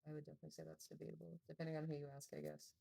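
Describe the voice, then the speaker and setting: A woman with a low, mellow voice and lots of vocal fry. She co-hosts an easygoing podcast conversation and gives her views in a thoughtful, hedging way. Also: rapid-fire. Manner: relaxed, thoughtful, offering opinions with hedges. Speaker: podcast co-host. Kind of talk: casual philosophical chat.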